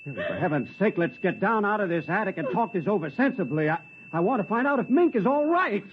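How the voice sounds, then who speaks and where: Baffled suburban dad in a fifties radio play, high animated voice, tinny and noisy recording. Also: male voice, fast talker. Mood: exasperated. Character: bewildered, sensible suburban husband and father, growing alarmed. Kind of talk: 1950s radio drama acting.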